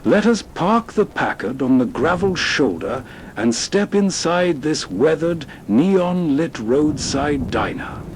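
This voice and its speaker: A man's voice: on the high side, sing-song, slow, a little hiss or hum. A man hosting with atmospheric scripted narration, soothing and evocative, guiding the listener through a scene. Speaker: host.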